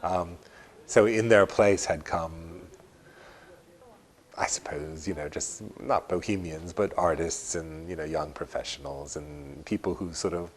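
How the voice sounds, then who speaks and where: Very deep-voiced older man, reflective. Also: measured pace. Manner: hesitant, rambling, self-correcting. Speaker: interviewee, long-time resident. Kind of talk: reflective interview talk.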